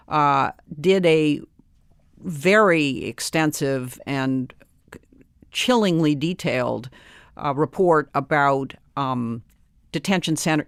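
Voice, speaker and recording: Clear, smooth, higher-pitched man. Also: fairly bright tone, no echo, clean studio sound. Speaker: expert guest. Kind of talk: informative interview answer.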